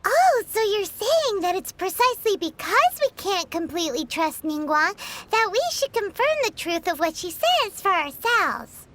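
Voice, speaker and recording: A woman voicing a tiny, excitable, greedy and chatty girl companion in a video game, with a squeaky childlike voice and an exclaiming manner. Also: unhurried, hardly any pauses, slight background noise, exaggerated voice. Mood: pondering. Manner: speaks of self in third person, exclaiming. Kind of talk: video game cutscene voice acting.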